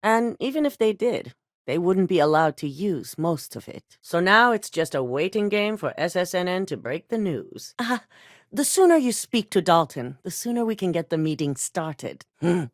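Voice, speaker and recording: A cold, calculating corporate executive woman from a video game. Her voice sits low, but she moves it around a lot as she hands down orders in a measured, unhurried way. The recording is dry and close. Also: big pitch swings, no room sound. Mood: cool, authoritative. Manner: composed, measured and coolly authoritative. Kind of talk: game voice acting.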